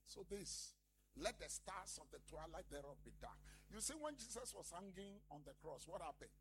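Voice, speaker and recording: A male pastor preaches in a high, swinging voice that leaps up and down. He talks emphatically and keeps calling out to the congregation. A faint hiss of noise sits under the recording. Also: animated, moderate pace. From Ghana. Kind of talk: lively sermon.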